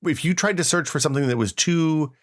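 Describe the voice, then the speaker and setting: A man's voice: on the high side, quick pace. A man in his forties co-hosting a podcast, chatting casually with a teasing, inquisitive manner. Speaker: podcast co-host. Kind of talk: casual chat.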